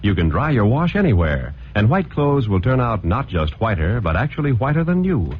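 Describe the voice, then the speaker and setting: A male announcer reading a vintage radio commercial with an upbeat, emphatic sales pitch. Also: sing-song, normal speed, a little hiss or hum. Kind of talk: read vintage radio advertisement. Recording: dull old radio broadcast.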